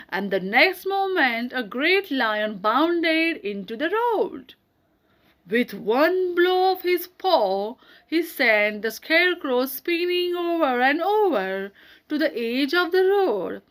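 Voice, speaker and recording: Female children's storyteller, high pitch, very animated melody, reading slowly. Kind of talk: children's audiobook narration.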